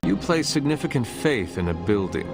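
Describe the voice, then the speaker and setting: An actor playing a stern young demon hunter in a video game, with a formal, terse and blunt manner. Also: male voice, fairly low pitch, very animated, moderate pace. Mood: skeptical. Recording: loud music over the voice.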